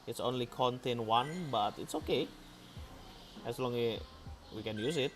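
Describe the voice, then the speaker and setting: A man's voice: medium pitch, normal speed. A young man from Indonesia with an Indonesian accent, chatting casually on a live game stream, switching between slang and English and reacting playfully to viewers. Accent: Indonesian, Palembang. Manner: playful, reads and reacts to chat. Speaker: gaming streamer. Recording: music playing underneath.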